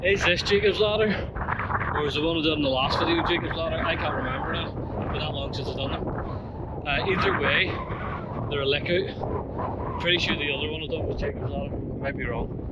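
A man's voice: on the high side, fairly quick. A man in his forties chatting casually and colloquially to a camera as he vlogs a hike outdoors. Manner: colloquial, matey. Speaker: hiking vlogger. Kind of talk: casual outdoor vlog chat. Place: open mountain hillside, outdoors. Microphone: action camera at arm's length. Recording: windy outdoor action-camera audio, loud noise. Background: loud wind noise.

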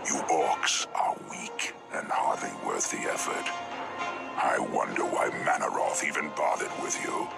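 A man's voice: very deep voice, animated, measured pace, gravelly, heavily acted. A man playing a towering, arrogant demon lord in a fantasy video game, pompous, taunting and full of contempt. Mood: scornful, taunting. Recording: loud music behind the voice.

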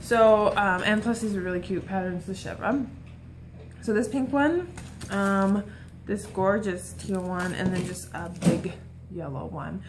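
A woman's voice: medium pitch, unhurried, background noise. A young adult woman chatting casually and informally to the camera as a shopping vlogger. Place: small room at home, indoors.

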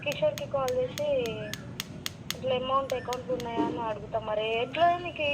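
A woman's voice: high voice, fairly quick. A woman with a Telangana Telugu accent speaks casually over the phone, asking things in a concerned, insistent way. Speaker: friend receiving a prank call. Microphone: heard through a phone call. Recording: very noisy phone call.

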